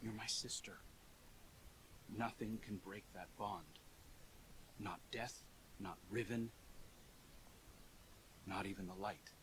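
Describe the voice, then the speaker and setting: Low-voiced, earnest, proud brother. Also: male voice, fairly even intonation, fairly bright tone, slight background noise, rough recording. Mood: earnest, wounded. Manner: earnest, measured, dramatic. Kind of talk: video game cutscene acting.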